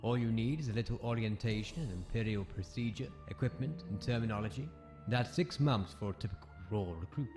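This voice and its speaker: An actor playing a brusque, authoritative military academy commandant in an audio comic drama. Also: male voice, fairly low pitch, brisk, darkish tone, soft background music, natural voice. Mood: brusque, stern.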